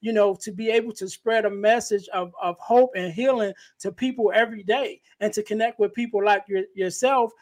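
A soft-spoken man with a light, high voice hosts a podcast conversation. He reflects warmly on his guest's points in an easy, affirming way. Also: fairly even intonation, moderate pace, few pauses. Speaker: podcast host. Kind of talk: casual podcast interview.

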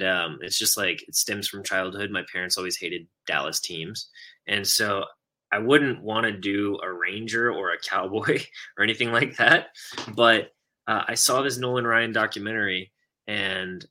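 A man hosting a casual podcast, talking in an easy, rambling way with plenty of fillers and quick laughs. His voice sits fairly low, but it has a bright, forceful edge. He grew up in Texas. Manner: chatty, laughs easily, lots of fillers. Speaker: podcast host. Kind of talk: casual conversation.

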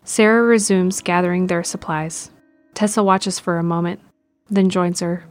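Female narrator for scripted audio drama, lower register, music bed behind. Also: fairly even intonation, moderate pace.

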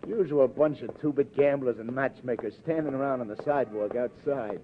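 Actor playing a wry, world-weary New detective, old radio. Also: male voice, mid-range voice, fairly quick, heavy background noise, natural voice. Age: middle-aged. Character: seasoned, wry New York police detective, former boxer. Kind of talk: 1950s radio drama acting, hard-boiled narration. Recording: muffled old radio broadcast, music behind.

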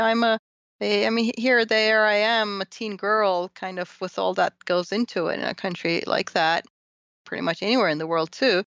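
Animated woman from Turkey with gravelly edge. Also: fairly low pitch, no echo, highs cut off. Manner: animated, laughs often, quick restarts. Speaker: guest. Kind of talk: podcast interview.

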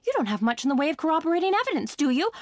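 Casting: sharp-witted young woman student, high voice, arch and articulate, poised but combative, for noir audio drama. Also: sing-song, rapid-fire, slight background noise. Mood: incisive, challenging. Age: young adult. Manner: articulate, arch, precise, teasing, argumentative.

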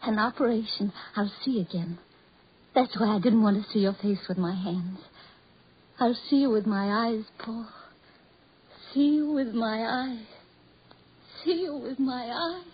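An actress playing a tender, devoted blind woman, earnest and melodramatic in 1940s radio drama style, with a husky voice. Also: on the high side, unhurried, pauses often. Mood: urgent, hopeful. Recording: muffled old 1940s radio recording.

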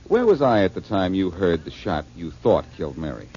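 A cocky detective lays out how a murder was faked, in a confident tone. His voice is low and lively. The 1940s radio recording is dull and a little hissy. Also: brisk. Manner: confident, explaining.

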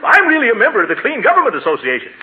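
An actor playing a blustering, excitable middle-aged uncle with a pompous manner and hearty chuckles in a 1940s radio comedy. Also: male voice, very high-pitched, racing, heavily acted. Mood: indignant. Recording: noisy, tinny old radio broadcast.

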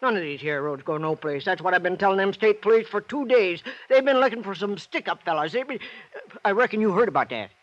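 A bright, forceful rural American old-timer for 1950s radio drama. He is folksy and opinionated, hemming as he rambles in a very high voice. The recording is dull and aged. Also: lively intonation, fast talker, no echo. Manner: rambling folksy drawl with hems and coughs.

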